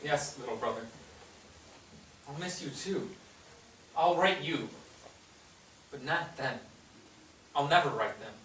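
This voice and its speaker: Actor as hurt defiant teen son, bright forceful voice, swinging pitch, period stage drama, distant roomy recording. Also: male voice, animated, slow pace, long pauses. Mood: bitter, sad. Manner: respectful, then bitter and protesting. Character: hurt, defiant eighteen-year-old son, the older brother. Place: indoor theatre stage, recorded from afar. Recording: roomy theatre, distant mic, hissy background.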